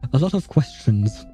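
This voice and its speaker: Dark, mellow-voiced man rambling on stream. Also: medium pitch. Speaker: game streamer. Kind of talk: casual livestream commentary.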